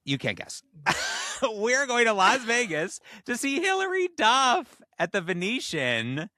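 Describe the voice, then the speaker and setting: Bright-voiced man chatting on a podcast. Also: very high-pitched, wildly swooping pitch, slow pace, no echo, clean studio sound. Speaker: podcast co-host. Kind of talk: casual chat.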